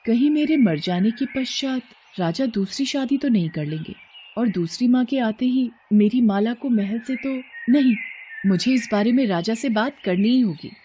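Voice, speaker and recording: A woman's voice: on the high side, expressive, moderate pace, mellow, natural voice. A woman voicing a devoted, anxious queen mother in a cartoon fairy tale, earnest, tender and imploring. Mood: worried, imploring. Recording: clear background noise.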